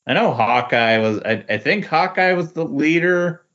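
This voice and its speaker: A man chatting casually as a podcast co-host, tentative and halting as he reacts. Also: a little high, lively intonation, a bit bright. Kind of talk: casual chat. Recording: dull lo-fi voice recording.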